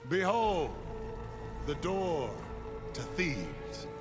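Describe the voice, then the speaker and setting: Stern, reverent official, music behind. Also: male voice, on the high side, very animated, extremely slow, exaggerated voice. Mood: solemn, showy. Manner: declamatory, formal. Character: stern, reverent male official, devoted and formal. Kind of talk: dramatic video game acting.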